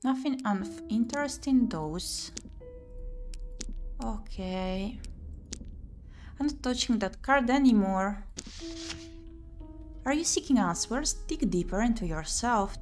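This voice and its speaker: A woman's voice: mid-range voice, expressive, slow, pauses often, a little mellow. A woman streaming a visual novel playthrough, reading game text aloud expressively and muttering short asides to herself. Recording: music playing behind the voice.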